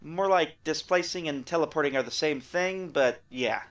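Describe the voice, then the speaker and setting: A man playing an excitable scientist in an audio drama, explaining technical things in a wry way. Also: a little high, moderate pace, highs cut off, lightly acted. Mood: eager. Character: excitable male scientist explaining space physics.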